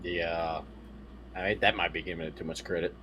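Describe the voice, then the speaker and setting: A man's voice: low voice, fairly even intonation, brisk, bright, forceful tone, slight background noise. A man on a podcast panel, chatting casually about movies.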